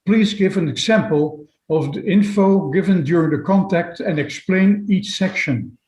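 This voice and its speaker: Male webinar moderator, slightly high voice, halting read-outs of audience questions, noticeably echoey room. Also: a little mellow, highs cut off. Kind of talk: reading out audience questions and comments. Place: indoors, online webinar.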